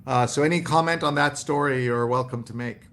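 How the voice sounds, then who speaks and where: A man hosting a live newspaper read-along, reading headlines aloud and commenting casually in a halting, think-aloud way. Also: mid-range voice, gravelly, fairly bright tone.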